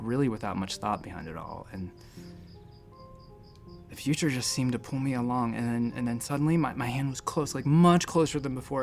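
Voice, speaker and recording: A man's voice: medium pitch, vocal fry, natural voice. A man playing a nervous space-station doctor who talks in a hesitant, rambling, self-deprecating way in a sci-fi audio drama. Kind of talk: sci-fi audio drama acting, conversational first-person storytelling.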